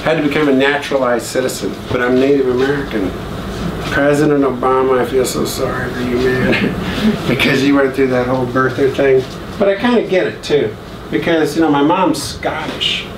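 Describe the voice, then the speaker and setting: A man giving a relaxed guest lecture and telling joking anecdotes to an audience. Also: medium pitch, normal speed. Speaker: guest speaker. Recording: echoey room, distant mic, air-conditioning hum.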